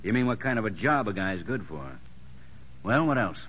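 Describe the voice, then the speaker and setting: Gruff, low-voiced American prison warden. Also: male voice, expressive, normal speed, a bit bright. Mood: gruff, probing. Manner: blunt, wry, cautioning. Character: gruff, sceptical veteran prison warden, older man. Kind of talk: 1950s American radio drama acting. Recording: muffled, noisy old radio broadcast.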